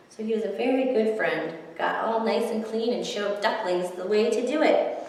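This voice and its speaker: Slow-paced woman in a very echoey hall. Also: medium pitch, a bit bright, a little hiss or hum. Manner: simple, explanatory, talking to young children. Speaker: children's librarian, story time host. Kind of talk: storybook narration and craft instruction for children. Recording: echoey hall, distant microphone.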